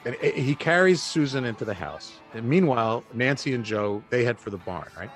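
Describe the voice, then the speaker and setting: An actor playing a laid-back, sardonic male buddy with a dry, halting, teasing delivery in audio drama dialogue. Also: mid-range voice, expressive, faint music behind, natural voice. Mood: joking.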